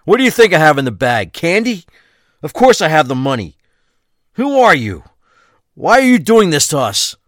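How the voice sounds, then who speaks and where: A man's voice: on the high side, sing-song, moderate pace, pauses often, a bit bright, dry recording, clean studio sound. A man playing a guarded, determined husband in a crime audio drama, speaking with intensity. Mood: furious. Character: capable, determined husband of a kidnapped wife.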